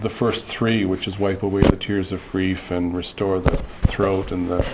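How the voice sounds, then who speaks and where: Low-voiced man, slow and reflective. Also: flat delivery, background noise. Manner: reflective, halting, many restarts and fillers. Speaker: guest artist. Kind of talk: interview conversation.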